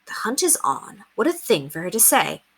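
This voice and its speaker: A woman's voice: medium pitch, very animated, normal speed, fairly bright tone, breathy edge, pitched above the performer's own voice, exaggerated voice. A woman voicing a kindly, earnest fifteen-year-old governess in a reassuring, determined way.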